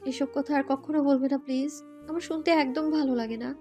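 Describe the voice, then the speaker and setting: A woman's voice: high voice, fairly even intonation, fairly quick, warm tone, muffled recording, soft background music. A woman playing a tender, anxious young wife in a romantic audio story, pleading and emotional. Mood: tearful pleading.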